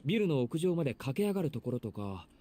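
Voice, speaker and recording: A blunt, teasing young man acted by a man from a visual novel game. He rattles along very quickly in a mellow, animated voice, with faint noise behind. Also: medium pitch, natural voice. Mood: teasing, exasperated. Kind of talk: Japanese visual novel voice acting.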